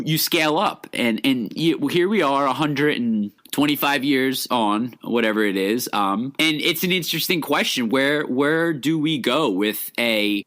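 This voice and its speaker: An American man with a mid-range voice talks as a podcast co-host. He explains in a casual, rambling way, often halting and starting his sentences over. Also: medium pitch, fairly bright tone. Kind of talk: casual film history discussion.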